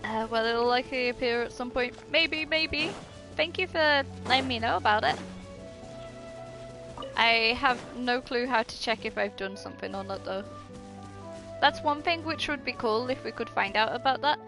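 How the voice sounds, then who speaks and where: A woman's voice: fairly high pitch, moderate pace, over music. A woman chatting casually and informally as she streams her gameplay, swearing freely. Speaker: game streamer. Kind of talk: casual live gameplay chat.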